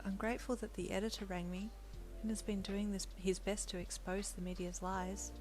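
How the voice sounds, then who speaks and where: A woman voicing a gentle, emotional young pregnant wife who speaks softly and gratefully. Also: mid-range voice, little pitch movement, moderate pace, faint music behind, close to an everyday voice. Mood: tender. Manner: soft, tearful and grateful.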